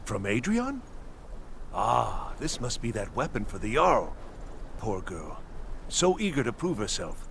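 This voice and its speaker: An actor playing a cautious, officious lord's steward in a fantasy game, formal and fussy in manner. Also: male voice, fairly low pitch, wildly swooping pitch, unhurried, gravelly, fairly bright tone, slight background noise, full character voice. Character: officious, cautious, prim male steward to a lord. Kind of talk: fantasy video game acting. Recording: music behind the voice.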